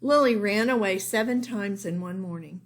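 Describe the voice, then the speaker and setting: A woman's voice: medium pitch, normal speed. A woman teacher reading a children's storybook aloud expressively, explaining things simply for young listeners.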